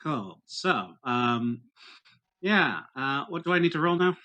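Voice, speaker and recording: A man's voice: mid-range voice, very animated, slow pace, bright, forceful tone, muffled recording. A man talking casually as a player in a tabletop roleplaying game.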